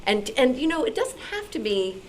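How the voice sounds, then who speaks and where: A woman's voice: sits high, big pitch swings, moderate pace, a little hiss or hum. A woman, an actor and former teacher, speaking informally in a group discussion at a club meeting.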